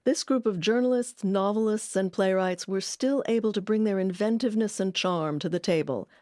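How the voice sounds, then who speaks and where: A woman hosting and narrating a documentary about radio history, with a measured storytelling delivery. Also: mid-range voice, a little mellow, a little hiss or hum.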